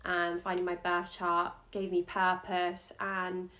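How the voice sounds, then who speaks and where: A woman astrologer, a podcast guest, talking candidly and casually about her work in a relaxed, soft-spoken way. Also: lowish voice, slow. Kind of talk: casual interview talk.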